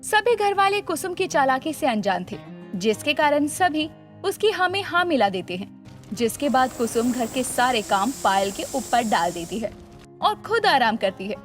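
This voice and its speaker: A woman reads scripted story narration. Her voice is high and swings animatedly in pitch, carrying the tale along over quiet background music. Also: big pitch swings, normal speed.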